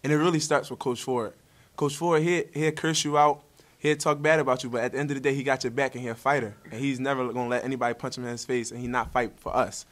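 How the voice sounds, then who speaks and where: A man's voice: mid-range voice, fairly even intonation, quick pace. A young man, a college basketball player, answering questions at a post-game press conference in an upbeat, casual way, seated at a table mic. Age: young adult. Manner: upbeat, easygoing, casual slang. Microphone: table mic in front.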